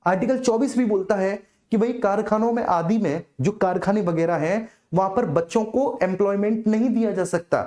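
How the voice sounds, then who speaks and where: A woman teaches an exam-prep class in a dark, relaxed voice at a middling pitch. She lectures informally, restates key phrases for emphasis and keeps checking that students follow. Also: normal speed, hardly any pauses.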